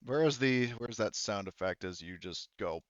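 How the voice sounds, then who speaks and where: A man acting as game master in a casual tabletop session, haltingly thinking aloud as he checks rules and sets up the action, with some casual swearing. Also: fairly low pitch, animated, no echo, highs cut off.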